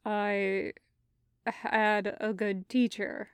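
A woman voicing a reserved, polite man with dry humour and a soft-spoken, measured delivery in an audiobook-style story. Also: medium pitch, little pitch movement, clean tone, no echo, voice pushed down.

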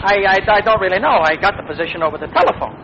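Casting: self-important eager youngster, high-pitched and boastful, vintage radio comedy, muffled noisy recording. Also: male voice, animated, racing, a faint crowd. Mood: eager, flustered. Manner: boastful, pompous, stammering when flustered. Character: cocky, self-important eager young man playing big executive. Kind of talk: 1940s radio comedy acting.

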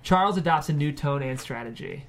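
A man's voice: on the high side, lively intonation, fairly quick, vocal fry, slight background noise. A man reading the stage directions as narrator of a screenplay table read.

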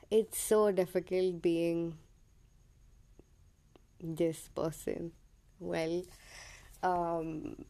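A woman's voice: lowish voice, steady tone, very slow, pauses often, dark tone. A young woman from India with an Indian English accent that drifts toward American, hosting her own podcast in a hesitant, personal monologue full of ums. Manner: hesitant, many ums and self-corrections. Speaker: podcast host.

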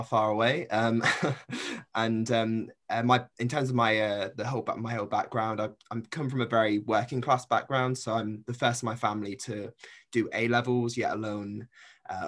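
Young man, from Cornwall, lowish voice, hesitant, lots of fillers, casual podcast guest. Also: fairly bright tone. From Cornwall, England. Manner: hesitant, many fillers, laughs lightly. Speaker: PhD student guest.

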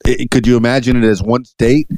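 A man's voice: mid-range voice, level tone, brisk, a little mellow, dry recording. A male podcast host from Miami, chatting casually and teasing his guests with lots of questions. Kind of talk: casual interview chat.

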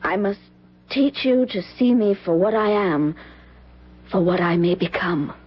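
An actress playing a tormented young woman burdened by a dark heritage, with solemn, melodramatic phrasing in old-time radio style. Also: medium pitch, lively intonation, unhurried, husky. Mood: sorrowful, tender. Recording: dull vintage radio broadcast recording.

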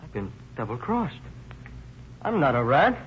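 An actor playing a defiant, wary small-time crook with slangy, sarcastic street talk in an old-time radio crime drama. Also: male voice, medium pitch, big pitch swings, a little slow. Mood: bitter. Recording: muffled, noisy vintage radio recording.

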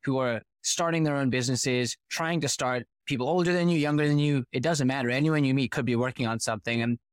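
A man in his thirties who grew up in Boston, a podcast guest and company founder telling his story in a fluent, animated way with rhetorical questions. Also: mid-range voice, fast talker, clear, resonant tone, no echo, clean studio sound. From United States (Boston). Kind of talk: casual interview storytelling.

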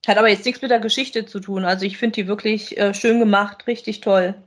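A woman's voice: medium pitch, fairly even intonation, brisk. A woman, co-hosting a podcast and chatting casually as she gives a review. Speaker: podcast co-host.

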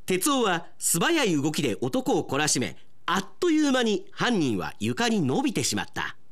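A man's voice: sits high, huge pitch swings, normal speed, a bit bright, a little hiss or hum. A man reading dramatic scripted narration for a Japanese radio drama.

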